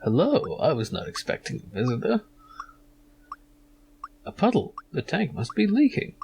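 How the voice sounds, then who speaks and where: A man's voice: fairly high pitch, wildly swooping pitch, moderate pace, gravelly, background noise, voice pushed up, exaggerated voice. A man voicing a mild, startled android technician from an adventure game.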